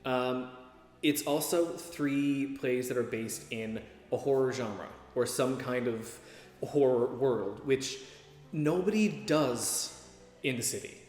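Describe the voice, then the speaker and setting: A man talks casually in an interview at a medium pitch. The hall adds strong echo, and faint music plays behind him. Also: takes it slowly, darkish tone. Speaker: theatre performer. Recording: echoey hall.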